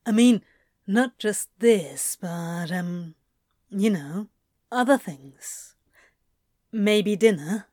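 A woman's voice: mid-range voice, animated, extremely slow, long pauses, mellow, no echo, studio quality. A woman playing a mischievous, flirty male yoga instructor in a fan fiction audio drama, with a teasing, murmuring drawl. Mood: flirty, hesitant.

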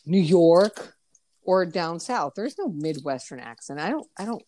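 A woman's voice: fairly low pitch, lively intonation, measured pace, warm tone. A woman hosting a podcast, chatting bluntly with frequent swearing. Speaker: podcast host. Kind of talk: casual podcast chat.

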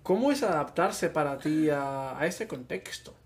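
Spanish man asking lively questions. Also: a little high, unhurried. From Barcelona. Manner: asks lively follow-up questions. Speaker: podcast co-host. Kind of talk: casual podcast interview.

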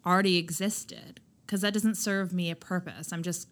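A loud, emphatic woman with a somewhat low-pitched voice. She talks candidly as a podcast guest about building her business and leans hard on filler words. Her delivery is forceful and direct. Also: fairly even intonation, moderate pace. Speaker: skincare brand founder, podcast guest. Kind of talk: candid conversational interview.

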